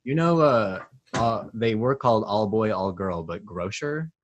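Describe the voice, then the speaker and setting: A man's voice: fairly low pitch, animated, unhurried, slight background noise. A young man from South Carolina chatting casually as an interview guest, offhand and a bit hesitant. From South Carolina, United States. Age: young adult. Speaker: band member, podcast guest. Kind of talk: casual chat.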